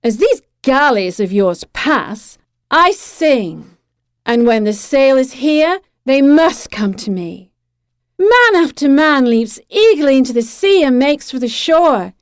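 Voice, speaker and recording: Woman acting a young siren for radio drama, willful n teasing, highish voice that swoops around. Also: sing-song, slow, clear, resonant tone, dry recording, studio quality, full character voice. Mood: proud, cruelly gloating. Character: beautiful young female siren, imperious, capricious, cruelly playful.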